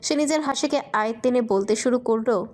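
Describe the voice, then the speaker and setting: A woman's voice: a little high, fairly quick, darkish tone. A woman reading scripted romantic fiction as a narrator.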